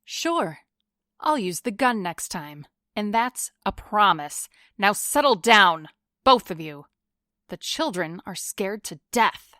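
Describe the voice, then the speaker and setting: A woman's voice: mid-range voice, sing-song, takes it slowly, sharp tone, no room sound, clean studio sound, heavily acted. A woman acting a cool, commanding, glamorous vigilante in a pulp radio drama, stern and self-assured with clipped delivery.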